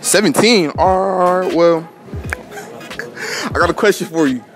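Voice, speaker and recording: A young American man hosting playful interviews at a high school in a casual, slangy way. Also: measured pace, faint music behind. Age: young adult. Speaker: host of street-style prank interviews. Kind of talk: casual joking public interview. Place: indoors at a high school, rooms and hallway.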